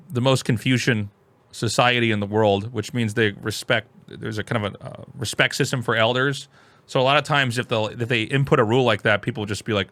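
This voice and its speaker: An American man hosting, reacting to a video in casual commentary, animated and full of restarts. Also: mid-range voice, dry recording. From United States. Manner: animated, restarts often, exclaims loudly.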